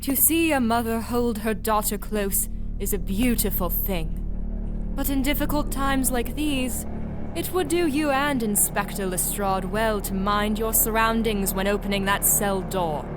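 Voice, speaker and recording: A woman playing an embittered young villainess in an audio drama, speaking in a cold, deliberate, scornful manner. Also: fairly high pitch, music in the background, natural voice. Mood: cold menace.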